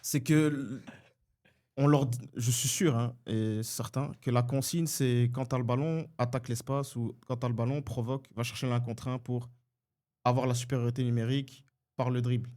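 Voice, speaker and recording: Brief: man from Côte d'Ivoire, dark relaxed tone, reflective explainer, football chat. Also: mid-range voice, moderate pace. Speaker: podcast co-host. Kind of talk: casual football debate.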